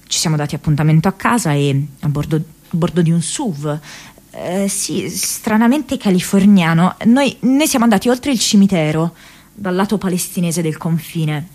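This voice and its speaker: An actress playing an earnest, haunted young American woman activist in an intimate radio drama monologue, speaking haltingly with hesitant pauses and repeated words. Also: fairly low pitch, a little mellow, close to an everyday voice. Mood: tense recounting. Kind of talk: intimate radio drama monologue, diary read as spoken memories.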